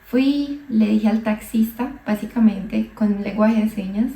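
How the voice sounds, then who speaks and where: A young woman from Colombia, a psychologist, telling her own migration story slowly and thoughtfully, with hesitant restarts. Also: medium pitch, creaky, warm tone, distant mic. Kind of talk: reflective personal monologue. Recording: echoey room, voice a bit off mic.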